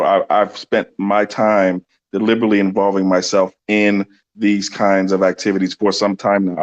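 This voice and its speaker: A man's voice: fairly low pitch, a little mellow, lo-fi sound. A man from New City, a guest explaining his organizing work earnestly, thinking aloud. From New York City. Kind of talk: casual explanatory interview talk.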